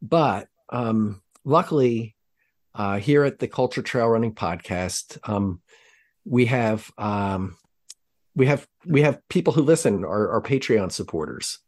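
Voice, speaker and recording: A man hosting a trail running podcast, talking casually in rambling, halting sentences full of ums. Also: lowish voice, lively intonation, darkish tone. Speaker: podcast host. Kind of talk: casual chat.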